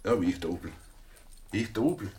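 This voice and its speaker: A man's voice: low voice, expressive, normal speed, darkish tone, a little hiss or hum. A man playing a boastful, stubborn dog trainer in a wartime radio drama, blustering and repeating himself. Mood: boastful, flustered.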